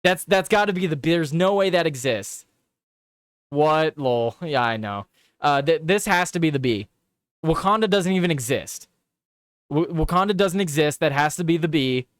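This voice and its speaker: A man's voice: a little high, lively intonation, normal speed, dry recording, clean studio sound. Casual swearing man hosting a stream. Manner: casual, swears, repeats himself when reacting. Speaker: host of a live political show. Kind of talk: live stream commentary, reading headlines and reacting to chat.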